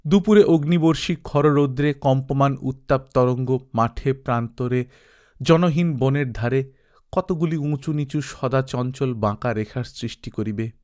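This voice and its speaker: A man narrating a literary story as an audiobook, reading expressively and reflectively with dramatic pauses. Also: mid-range voice, a little mellow.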